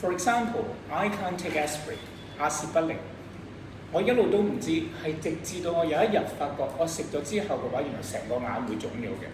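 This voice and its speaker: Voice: medium pitch, lively intonation, quick pace. A debate coach with a Hong Kong accent, explaining points to students and posing questions as they go. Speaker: debate coach teaching a class. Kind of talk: interactive lesson, explaining debate rebuttals. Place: echoey room, distant from microphone. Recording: echoey room from far off, noisy.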